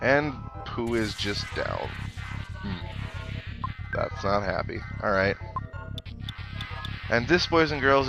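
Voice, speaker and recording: A man doing playthrough commentary on a video game, reading game text aloud and musing in rambling asides. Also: fairly low pitch. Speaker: let's play host. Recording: game music behind the voice. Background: video game music.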